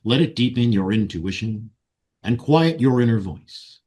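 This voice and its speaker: A man leading a guided meditation and ceremonial invocation in solemn, deliberate short phrases. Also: medium pitch, lively intonation, darkish tone, breathy edge. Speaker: meditation guide and ritual leader.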